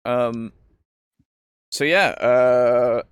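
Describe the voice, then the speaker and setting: Male podcast host, smooth clear voice, dry close sound, informal and rambling. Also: mid-range voice, drawn-out words, no echo. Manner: informal, rambling, prone to chuckling. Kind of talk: casual podcast chat and show sign-off.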